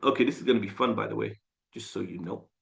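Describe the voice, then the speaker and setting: A man running a casual live sales coaching class over a video call, animated, repeating phrases and filling with "like" and "uh". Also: on the low side, fast, gravelly. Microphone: video call mic.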